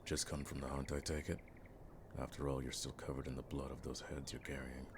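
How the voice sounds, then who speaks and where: An extremely low-voiced, weathered monster hunter played by a man from a fantasy audio drama. He speaks in dry, formal, world-weary lines with little melodic movement. The gravelly male voice sits over clearly audible background noise. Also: flat delivery. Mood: curious, wry. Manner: dry, unhurried, wryly formal speech.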